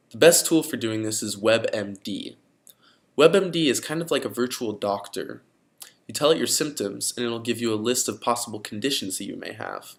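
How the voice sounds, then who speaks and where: A teenage boy presenting a casual computer tutorial, explaining steps with frequent fillers. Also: medium pitch. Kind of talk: screen-recorded computer tutorial.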